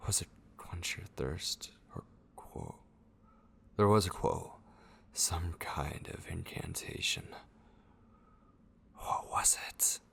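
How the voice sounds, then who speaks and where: Male actor as frantic forgetful vampire, low husky voice, gravelly edge, anxious self-questioning mutter, horror ASMR roleplay. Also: slow, long pauses, fairly bright tone, full character voice. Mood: straining to remember.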